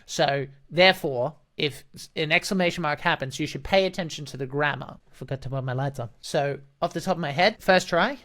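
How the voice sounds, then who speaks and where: A man's voice: fairly high pitch, fairly quick, few pauses, sharp tone. A man who works as a voice actor and dungeon master, explaining his approach to an audition in an analytical, think-aloud manner. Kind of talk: explanatory commentary on a voice acting audition.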